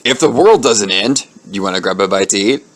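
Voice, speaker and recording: Gruff, clipped male operative with a low voice, played by a man, for an audio fiction story. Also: expressive, brisk, lightly acted. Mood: curt, businesslike.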